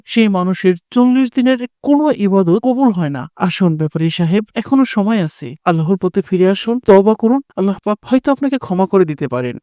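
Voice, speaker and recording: A righteous cartoon village imam, voiced by a man, admonishing like a preacher. The voice is soft-toned and fairly high for a male, recorded dry. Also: no echo. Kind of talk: cartoon voice acting.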